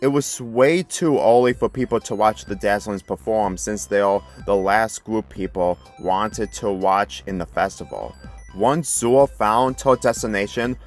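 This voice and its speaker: A man with a fairly low voice reads a story aloud as narrator. His scripted narration plays over background music. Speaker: fan fiction reader. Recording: music playing behind the voice.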